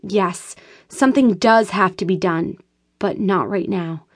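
A woman reading an audiobook gives voice to a frantic, fearful young woman, pitching her speech up and pleading urgently. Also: a little slow, lightly acted. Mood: insistent.

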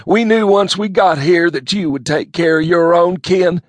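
A man's voice: sits high, moderate pace, no echo, voice pushed up. A man voicing a bumbling rural cousin in an audiobook, with a folksy, ungrammatical country drawl. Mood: hearty, folksy. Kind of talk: audiobook character voice.

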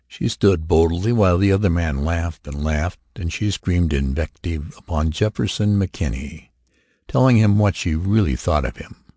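A man narrating a short story in a measured, dramatic storytelling manner. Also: low voice, dark tone, breathy edge, no room sound, studio quality. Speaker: audiobook narrator.

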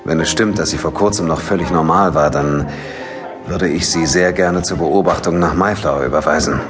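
An actor playing a grave, placating hospital doctor who speaks haltingly in an audio drama. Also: male voice, very deep voice. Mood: grave, regretful. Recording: music behind the voice.

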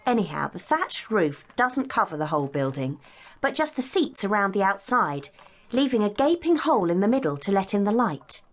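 A woman's voice: medium pitch, big pitch swings, normal speed. A woman playing a curious, observant student in a scripted educational audio drama, speaking in an eager, inquisitive way. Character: curious, observant female student on a guided tour.

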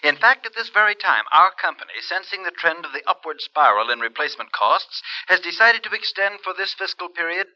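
A man's voice: high-pitched, animated, a little fast, few pauses, sharp tone, no echo, dull audio, heavily acted. A slick, evasive insurance man. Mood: smooth. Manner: formal, overly polished, evasive. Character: smooth, evasive male insurance company man.

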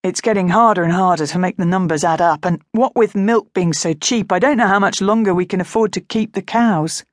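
A woman voicing a kindly middle-aged farmer father in a storybook, gentle and hesitant, worried but trying to sound positive. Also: on the low side, brisk, few pauses, no room sound, studio quality, natural voice.

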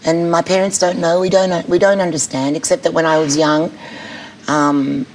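A middle-aged woman with a husky voice, speaking bluntly and candidly as an interview guest. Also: fairly low pitch, little pitch movement, moderate pace, darkish tone, a little hiss or hum, low-quality recording. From Australia. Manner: blunt, candid, laughs easily. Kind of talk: casual chat.

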